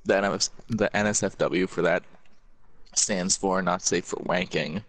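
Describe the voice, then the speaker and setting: A man's voice: low voice, steady tone, a little slow, fairly bright tone. A man chatting casually as a co-commentator, putting in brief joking remarks. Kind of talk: casual chat.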